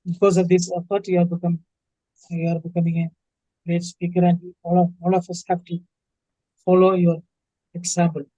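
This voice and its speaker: A high-voiced man with an Indian accent gives instructive feedback in an extremely flat delivery. He halts and repeats phrases, and the online meeting sound is dull. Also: normal speed, pauses often, no echo. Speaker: speech evaluator in a webinar.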